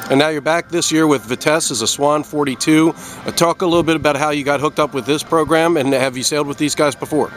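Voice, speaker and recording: A man's voice: a little high, fast, over music. A man working as an interviewer, asking conversational questions outdoors. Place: on a boat in a marina, outdoors.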